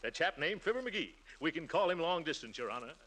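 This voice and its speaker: An actor playing a pompous, wheedling middle-aged uncle with stammers and chuckles in 1940s radio comedy style. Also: male voice, a little high, big pitch swings, fast talker. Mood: ingratiating. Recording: noisy vintage radio broadcast recording.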